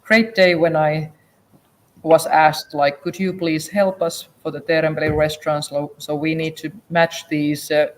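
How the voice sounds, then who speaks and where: A woman's voice: deep voice, little pitch movement, measured pace, slight background noise. A woman from Finland with a Finnish accent, chatting casually as a whisky expert at a tasting. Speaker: whisky distillery expert and guest. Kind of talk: casual tasting chat in English.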